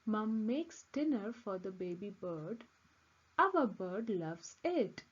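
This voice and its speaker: Slow, lively woman reading a storybook. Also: medium pitch, breathy edge, dull audio. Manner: simple, deliberate, expressive phrasing. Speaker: children's book reader. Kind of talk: scripted storybook read-aloud for young children.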